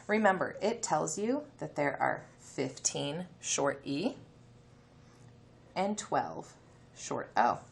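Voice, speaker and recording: A woman's voice: deep voice, very animated, slow pace, creaky, long pauses. A woman teacher giving a reading lesson, explaining instructions to children, sounding out letters and reading a passage aloud. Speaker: elementary teacher.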